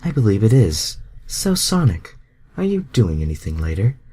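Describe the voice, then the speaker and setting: A man's voice: lowish voice, huge pitch swings, moderate pace, mellow, husky, heavily acted. A man voicing a friendly, flirty woman bounty hunter from fan fiction, in a casual, coy manner.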